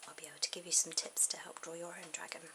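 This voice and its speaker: A woman softly whispering an ASMR drawing tutorial in a breathy, informal way, pausing mid-thought. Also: lowish voice, level tone. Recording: very noisy amateur camera audio.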